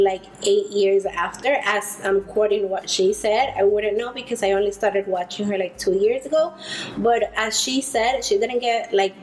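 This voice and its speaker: A woman's voice: medium pitch, a little mellow, a little hiss or hum, low-quality recording. A woman in her twenties from the Dominican Republic who moved to the United States, chatting casually to camera as a beauty vlogger, recorded indoors at home. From Dominican Republic, later United States. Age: 20s. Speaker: beauty vlogger, freelance makeup artist.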